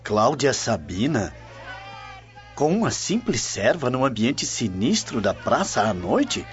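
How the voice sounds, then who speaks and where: Slow, swooping, suspicious Roman gentleman. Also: mid-range voice, big pitch swings. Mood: intrigued, suspicious. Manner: deliberate, theatrical. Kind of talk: radio drama acting. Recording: dull lo-fi radio drama audio. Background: music.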